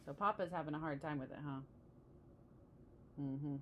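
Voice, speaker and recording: Female storybook reader, slightly low register, chatty with young listeners, faint noise on the recording. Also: normal speed. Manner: engaging, asks the listener questions. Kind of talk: read-aloud of a children's picture book with chatty asides to the listener.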